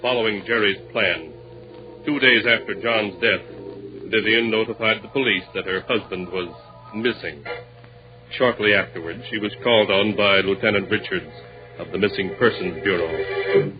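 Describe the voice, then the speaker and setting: A man's voice: fairly low pitch, normal speed. A man narrating a 1940s radio drama in a grave, theatrical storytelling manner. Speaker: narrator and host. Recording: dull vintage radio recording with music.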